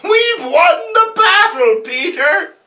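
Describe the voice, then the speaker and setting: Sweet, fervent old aunt played by a man, shrill strained falsetto, swooping theatrically, comic-book reading. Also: animated, slow, pushed and bright, far from the mic, full character voice. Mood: triumphant appeal. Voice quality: strained, put-on elderly falsetto. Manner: theatrical, exclamatory.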